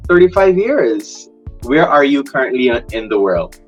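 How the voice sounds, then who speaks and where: A man's voice: mid-range voice, big pitch swings, measured pace, highs cut off, soft background music. A man hosting an interview, asking probing questions and steering his guest. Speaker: host.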